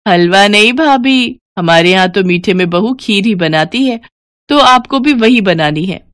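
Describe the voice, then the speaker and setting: A woman's voice: medium pitch, lively intonation, unhurried, fairly bright tone, no echo, clean studio sound. A woman voicing a pushy, entitled young sister-in-law with a demanding manner in a cartoon story. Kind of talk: Hindi cartoon story voice acting.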